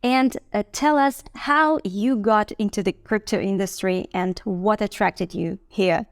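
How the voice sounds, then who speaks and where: Smooth-voiced woman hosting an interview. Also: mid-range voice, a little slow, no room sound, studio quality. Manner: friendly, encouraging, with fillers. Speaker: interviewer, host. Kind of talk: interview, conversational.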